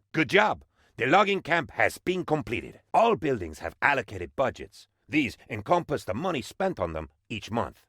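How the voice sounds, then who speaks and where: A man's voice: fairly low pitch, fairly bright tone, no echo, clean studio sound, heavily acted. A man playing a fawning, cheerful advisor to a tropical dictator, speaking as an eager, theatrical guide in a video game tutorial. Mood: delighted.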